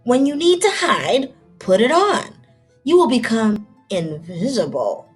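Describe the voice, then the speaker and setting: A woman's voice: on the high side, big pitch swings, measured pace, husky, faint music behind, exaggerated voice. A woman voicing a mysterious, helpful old woman of the forest in a storybook, giving cautionary instructions. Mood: cautioning.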